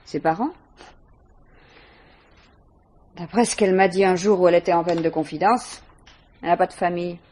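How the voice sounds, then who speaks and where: Low-voiced, aloof young woman student, played by an actress. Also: fairly even intonation, fast, a little mellow, close to an everyday voice. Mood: curt, defensive. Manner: curt, defensive, condescending. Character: guarded, aloof, disdainful young female student. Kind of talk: 1950s French radio mystery acting.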